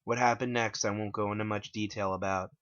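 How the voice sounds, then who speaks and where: A man's voice: on the low side, fairly even intonation, fairly quick, fairly bright tone, dry recording, highs cut off. A man giving a dramatic scripted narration as the author of a first-person story. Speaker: author reading own story.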